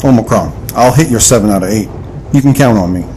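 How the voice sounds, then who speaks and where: Man playing quick-talking wry marksman. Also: fairly low pitch, noisy background, close to an everyday voice. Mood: confident. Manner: casual, wry. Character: wry, cocky male marksman and narrator. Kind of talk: audio adventure drama acting.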